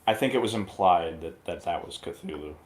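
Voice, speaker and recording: Male review-show guest, slightly low voice, irreverent and colloquial, informal joking film talk. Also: animated, normal speed, a little hiss or hum. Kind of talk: casual movie review chat.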